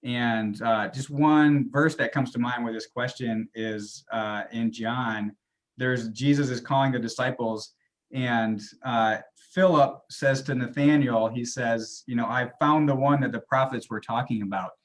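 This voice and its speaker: A man, a campus minister, speaking in a thoughtful, soft-spoken way that trails off at the end of phrases. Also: mid-range voice, fairly even intonation, measured pace, rough recording. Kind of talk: panel discussion.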